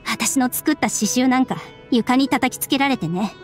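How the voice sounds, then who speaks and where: An actress plays a subdued young woman in an anime game. Her voice sits fairly high, measured and wistful, with serious resignation in it. Music plays behind her. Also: natural voice. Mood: wistful, hurt. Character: subdued, resigned young woman, estranged daughter of strict scholar. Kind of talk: Japanese anime game voice acting.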